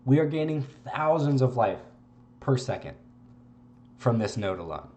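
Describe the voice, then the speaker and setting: A young man with a congested voice, giving relaxed, rambling gameplay commentary and swearing casually. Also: mid-range voice, measured pace. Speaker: game streamer.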